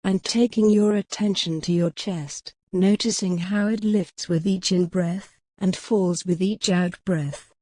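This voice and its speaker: Female meditation guide, lowish pitch, very unhurried and measured, close dry sound. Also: steady tone, dry recording. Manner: measured, instructional, gently pausing between phrases.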